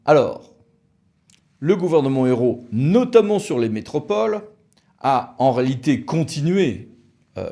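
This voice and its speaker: A man's voice: mid-range voice, gravelly, mellow. A man with an accent from France giving a semi-improvised explanatory talk, didactic, stressing key words. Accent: French from France. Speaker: lawyer presenting an explainer.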